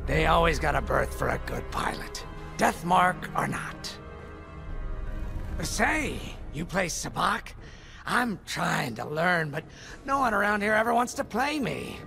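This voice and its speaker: Gravelly roguish alien pilot. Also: male voice, sits high, sing-song, unhurried, pushed and bright, exaggerated voice. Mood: wheedling. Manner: sly, folksy, wheedling. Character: roguish reptilian alien pilot, smooth-talking ex-thief. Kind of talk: video game character dialogue. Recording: music behind the voice.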